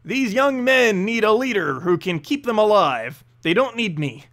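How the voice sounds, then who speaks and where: A man voicing a gruff, weary, sarcastic older knight general in a fantasy story, curt in manner. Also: high voice, big pitch swings, unhurried, a bit bright, pitched above the performer's own voice, heavily acted. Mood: weary, exasperated. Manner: curt, sardonic.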